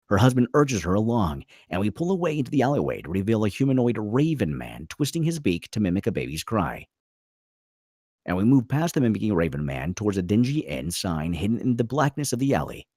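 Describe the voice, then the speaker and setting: Low, animated man narrating a screenplay. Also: no echo, studio quality. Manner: theatrical, punchy, clipped phrases. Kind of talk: dramatic reading of screenplay stage directions.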